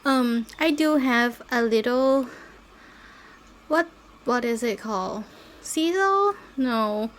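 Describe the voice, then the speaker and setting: Slow, high-pitched woman chatting casually. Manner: non-native English phrasing. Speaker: podcast host. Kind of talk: casual rambling chat, with baby talk to pets.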